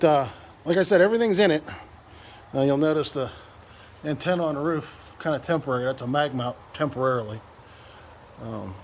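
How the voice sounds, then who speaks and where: A man's voice: medium pitch, darkish tone, a little hiss or hum. An American man giving a casual, conversational vlog walkthrough in a folksy, plain-spoken way. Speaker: vlogger, radio hobbyist.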